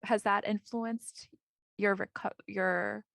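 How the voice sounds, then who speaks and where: A podcast host, a woman, asks her guest gentle, affirming questions. Her voice has a gravelly, creaky edge, and it is recorded close and dry with no room sound. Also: medium pitch, steady tone, takes it slowly, no room sound. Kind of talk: interview questions.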